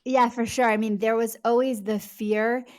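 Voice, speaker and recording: A woman's voice: on the high side, flat delivery, normal speed, a little mellow. A woman speaking as an author being interviewed, giving conversational answers in an earnest, emphatic way, leaning on key words.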